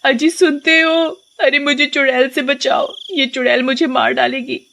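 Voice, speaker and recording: A woman voicing an excitable, nagging village wife in a dramatized horror story, with exclamatory delivery. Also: fairly high pitch, measured pace, slight background noise, voice pushed up, close to an everyday voice. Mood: terrified.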